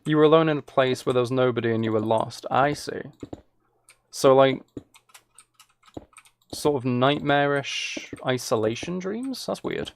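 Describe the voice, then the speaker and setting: A man's voice: medium pitch, lively intonation, unhurried, creaky, long pauses. A man chats casually with viewers as he plays a game, rambling and musing aloud. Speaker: live streamer. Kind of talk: casual chat with viewers while gaming.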